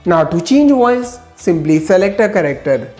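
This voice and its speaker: A woman narrating a scripted software tutorial, giving steady step-by-step instructions with enthusiastic asides. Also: fairly low pitch, moderate pace, echoey room, soft background music.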